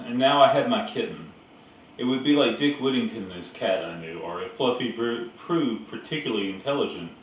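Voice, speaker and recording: Very reverberant room, faint background noise, male storybook narrator, deliberate phrasing, pauses. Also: lowish voice. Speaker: narrator reading a novel aloud. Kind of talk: storybook read-aloud narration. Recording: echoey room, distant mic, background noise.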